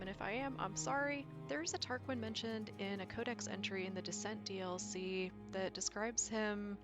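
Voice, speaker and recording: A woman giving a casual, chatty explainer voice-over with informal, nerdy enthusiasm. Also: mid-range voice. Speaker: video creator explaining game lore. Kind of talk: casual commentary, lightly scripted explainer. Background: faint background music.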